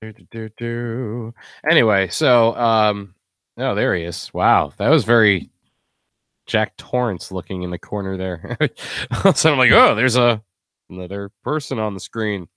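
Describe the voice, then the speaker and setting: A man with a lowish, clear and resonant voice hosts a casual stream. He rambles along and jokes as he goes. The sound is close and dry. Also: a little slow, fairly bright tone, no room sound. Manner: rambling, joking. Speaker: host. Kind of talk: casual live stream chat.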